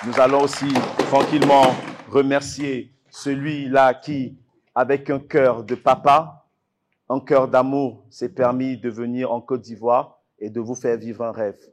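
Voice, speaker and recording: A man's voice: mid-range voice, level tone, measured pace. A man with an Ivorian accent, working as master of ceremonies at a fight event, hyping up a live audience with emphatic, repeated phrases.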